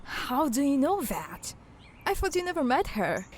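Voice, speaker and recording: Woman acting a lively-pitched, guarded young huntress. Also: measured pace, a little hiss or hum. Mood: questioning. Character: guarded, questioning young woman monster hunter. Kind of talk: audio drama acting.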